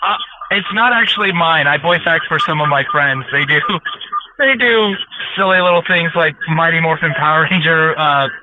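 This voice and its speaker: A man chatting casually as a guest over a remote line, halting and informal. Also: a little high. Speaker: truck driver guest, amateur voice actor. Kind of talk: casual chat. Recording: muffled, noisy radio-like remote line.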